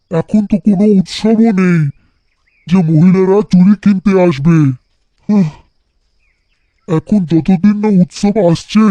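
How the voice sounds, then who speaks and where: A man's voice: high voice, dark tone, no echo, dull audio. A man playing a poor, weary, worried bangle seller who sighs plaintively in a cartoon folktale. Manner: plaintive, sighing. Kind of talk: animated folktale voice acting.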